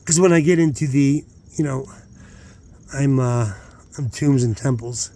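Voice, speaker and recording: A man's voice: mid-range voice, unhurried, warm tone. A man talking casually as host of his own outdoor vlog, hesitant and meandering, often interrupting himself. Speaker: channel host. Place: outdoors at night, rockhounding.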